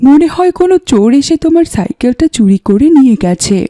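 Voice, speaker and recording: A woman voicing a gentle village mother in a cartoon, speaking in a consoling manner. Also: sits high, very animated, a little fast, dry recording, studio quality. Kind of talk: cartoon voice acting.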